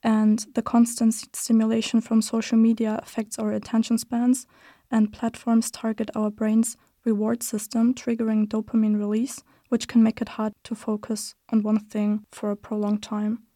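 A woman in her early twenties speaks in a mellow, fairly high voice with little melody. She explains research findings in a measured, slightly read-out way. The sound is dry and close. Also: monotone, no room sound. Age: 20s. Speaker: student co-host. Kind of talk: reading research findings on a podcast.

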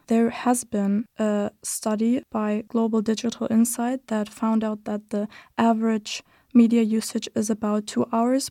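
A woman in her early twenties speaks in a mellow, fairly high voice with little melody. She explains research findings in a measured, slightly read-out way. The sound is dry and close. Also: no echo. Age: 20s. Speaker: student co-host. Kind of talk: reading research findings on a podcast.

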